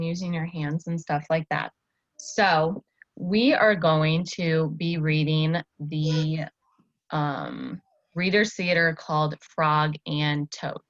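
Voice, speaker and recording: A woman's voice: low voice, a bit bright, dry recording, highs cut off. A woman teaching a reading lesson, explaining simply and encouragingly to a pupil. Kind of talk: instructional talk and story read-aloud.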